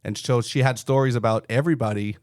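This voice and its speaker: A man's voice: mid-range voice, fast, darkish tone, dry recording, studio quality. A man in his forties from Montreal with a Quebec French accent, French his first language, telling stories easygoing as a firefighter-paramedic guest on a podcast interview. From Montreal, Canada. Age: 40s.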